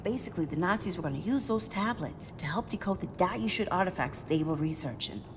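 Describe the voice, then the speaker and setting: A low-voiced American woman played by an actress, a tough resistance leader from a video game. She gives orders bluntly and conspiratorially, with clear background noise from a ship. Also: animated, a little fast, close to an everyday voice. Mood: serious, resolute. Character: tough, no-nonsense female resistance leader briefing a soldier.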